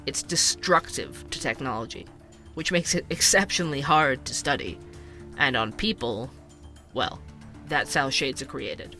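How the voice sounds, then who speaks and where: A man's voice: mid-range voice, animated, a little slow, pushed and bright, faint music behind. An actor playing a curious, chatty male podcast host, conversational and wry in an explanatory way, in a scripted audio drama. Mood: measured, explanatory. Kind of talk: scripted fiction podcast narration and interviews.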